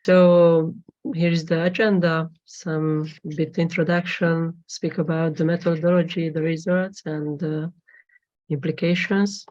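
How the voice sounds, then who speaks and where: Halting woman presenting research. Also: low voice, little pitch movement, a little mellow. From Hungary. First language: Hungarian. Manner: halting, formal, with frequent pauses. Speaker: conference presenter, PhD student. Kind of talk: academic presentation. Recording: dull lo-fi recording.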